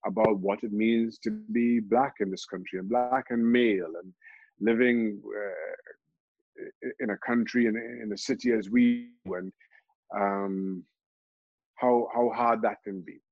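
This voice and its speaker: A middle-aged man from Trinidad and Tobago, a football pundit, answering interview questions in a halting way, restarting and repeating words often. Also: on the low side, steady tone, no echo, phone-quality sound. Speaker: football pundit and show host.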